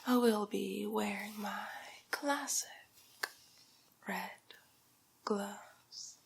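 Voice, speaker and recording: A woman playing a haughty, vain, condescending rich villainess in a whispered ASMR roleplay, with a breathy, creaky voice and drawn-out, patronizing delivery. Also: mid-range voice, fairly even intonation, a little hiss or hum. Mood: vain, indulgent. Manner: slow, drawn-out, theatrical, patronizing. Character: haughty, vain, condescending wealthy villainess, fur-loving fashion snob.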